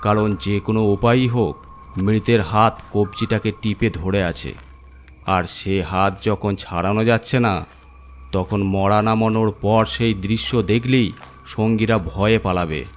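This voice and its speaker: A man with a low voice narrates a scripted ghost story in the role of storyteller. There is clear noise and ambient sound behind him. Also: steady tone, unhurried.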